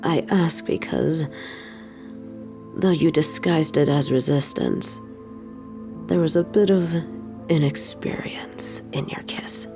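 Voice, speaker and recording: A woman playing an evil, possessive queen in an intimate audio roleplay, speaking formally and seductively in a breathy voice that often drops toward a whisper. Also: low-pitched. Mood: teasing, curious. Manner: formal, regal, slow seductive delivery.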